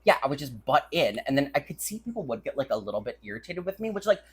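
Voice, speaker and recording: A woman's voice: a bass voice, big pitch swings, quick pace, husky. A woman co-hosting a podcast in casual chat, blunt and sweary, often jumping in over the other speaker. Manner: blunt, profane, talks over co-host. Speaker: podcast co-host.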